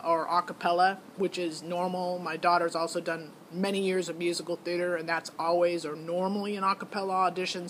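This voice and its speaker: Middle-aged woman rambling casually over background noise. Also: on the low side. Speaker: parent vlogger reviewing a singing show audition. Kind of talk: casual rambling review to camera.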